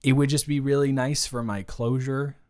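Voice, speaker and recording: A man's voice: medium pitch, level tone, normal speed, a little mellow, lightly acted. A man playing an earnest, flustered ex-boyfriend in an intimate improvised audio roleplay, stammering and trailing off as he talks. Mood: nervous, reassuring.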